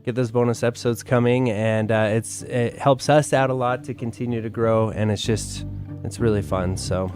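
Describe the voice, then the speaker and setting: A man co-hosting a podcast in casual chat, speaking informally with hesitant, trailing pauses. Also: mid-range voice, fairly even intonation, darkish tone, faint music behind. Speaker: podcast co-host.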